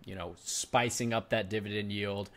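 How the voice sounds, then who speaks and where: A young man in his twenties with a somewhat low voice hosts a finance channel. He talks in a loose, chatty way and keeps turning to address his viewers directly. The delivery sounds like relaxed, unscripted commentary. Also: moderate pace. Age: 20s. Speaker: finance YouTuber host. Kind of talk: casual reaction commentary.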